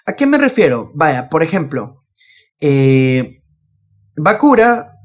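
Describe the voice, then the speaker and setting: A man's voice: fairly high pitch, sing-song, takes it slowly. A man with a Latin American Spanish accent explaining things in a casual podcast, posing questions and answering them himself, on a lo-fi recording. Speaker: podcast host. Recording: dull, lo-fi voice connection.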